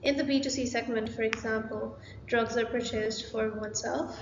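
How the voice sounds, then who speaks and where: A young woman, a student presenter, reading a scripted presentation in an even, list-like way over a laptop webcam in a small room. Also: on the high side, moderate pace, creaky. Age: young adult. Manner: even, read-aloud delivery with list-like pacing. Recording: lo-fi, echoey room with hiss.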